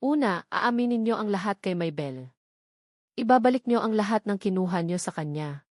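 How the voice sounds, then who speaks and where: A woman's voice: medium pitch, lively intonation, normal speed, darkish tone, no room sound, natural voice. Lady narrator as a hurt grown son in a drama story, cold and accusing, hits words hard, dry sound. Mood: cold, final. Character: wounded, resolute grown son confronting his mother.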